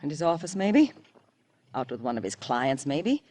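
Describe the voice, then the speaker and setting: Haughty middle-aged American wife, wide swings in pitch, impatient, scornful, vintage radio drama. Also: female voice, very animated, moderate pace, dark tone. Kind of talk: 1940s-50s American radio drama acting.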